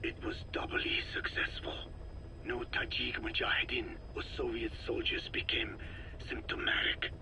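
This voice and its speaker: An actor playing a frail, grave old scientist in a video game, speaking in slow, formal, halting phrases with a breathy rasp. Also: male voice, medium pitch, monotone, background noise. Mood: grave, explanatory. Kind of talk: dramatic video game dialogue.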